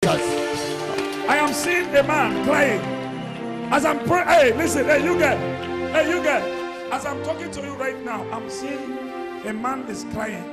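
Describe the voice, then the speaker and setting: Shouting high-voiced male prophet. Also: expressive, unhurried, long pauses, loud music behind the voice. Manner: shouting, commanding, repetitive. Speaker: pastor. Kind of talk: prophetic deliverance preaching.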